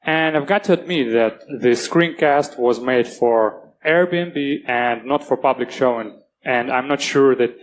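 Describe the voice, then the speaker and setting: A man's voice: mid-range voice, poor audio. Russian-accented man giving a security talk. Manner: earnest, plain-spoken, halting restarts. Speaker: conference speaker. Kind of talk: technical conference talk. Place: conference stage.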